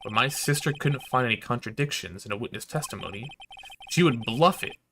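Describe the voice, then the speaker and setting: A man's voice: medium pitch, expressive, a little fast, background noise, natural voice. A man voicing an earnest young defense attorney from a courtroom visual novel game.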